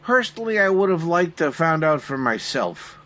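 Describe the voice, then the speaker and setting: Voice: medium pitch, big pitch swings, moderate pace, fairly bright tone. An actor playing a nosy, wisecracking cab driver in an old-time radio comedy, comically affronted in delivery. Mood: incredulous. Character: nosy, wisecracking, determined cabbie sidekick.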